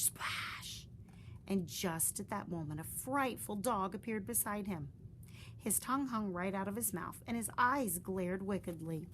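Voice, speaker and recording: A woman reading a children's story aloud with slow, drawn-out, expressive phrasing. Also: mid-range voice, a little hiss or hum.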